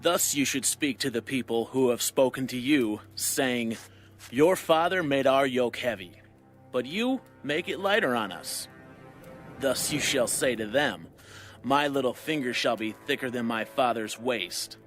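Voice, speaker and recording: A man's voice: medium pitch, lively intonation, measured pace, fairly bright tone, soft background music. A man playing an arrogant, scornful young royal advisor in a dramatized audio Bible, speaking emphatically.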